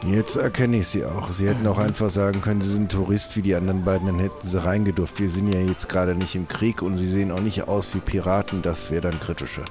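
Officious gatekeeper played by a man, low male voice, formal and dry, improvised fantasy audio play over music. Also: steady tone, fast talker, few pauses, close to an everyday voice. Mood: dry, lenient. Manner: formal, matter-of-fact. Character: officious male town gatekeeper, weary but lenient.

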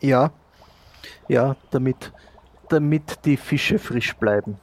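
A man improvising the part of a modest shopkeeper and former sailor, speaking haltingly and repeating words as he searches for them. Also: mid-range voice. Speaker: player in an improvised pirate audio adventure. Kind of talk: improvised in-character role-play dialogue.

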